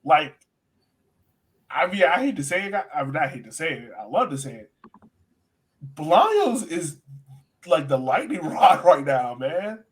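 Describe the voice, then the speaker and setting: A man's voice: a little high, very animated, measured pace, pauses often. A man hosting a sports podcast, giving casual, opinionated match analysis in a conversational way.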